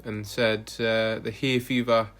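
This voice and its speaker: A man narrating footage of his own rides as a cycling vlogger, relaxed and hesitant, with frequent fillers. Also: lowish voice, slow pace. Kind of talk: casual voice-over narration of ride footage.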